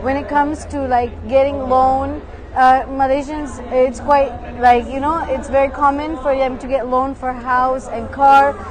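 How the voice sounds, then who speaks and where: A woman from Saudi Arabia answering interview questions in a relaxed way, with many fillers like "like" and "you know". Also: high-pitched, unhurried. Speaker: interview guest. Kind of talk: casual conversation. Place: busy expo hall. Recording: crowd chatter behind.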